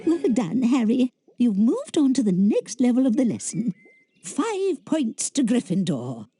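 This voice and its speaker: A woman's voice: on the high side, wildly swooping pitch, unhurried, dark tone, soft background music. A woman playing an encouraging, bustling British witch teacher giving a lesson in a video game. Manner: schoolteacherly, encouraging.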